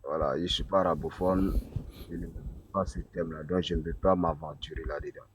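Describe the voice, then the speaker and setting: A man's voice: deep voice, steady tone, fairly quick, a little hiss or hum. A man calling into a live debate, hesitant and defensive, deflecting the questions put to him. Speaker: caller in debate.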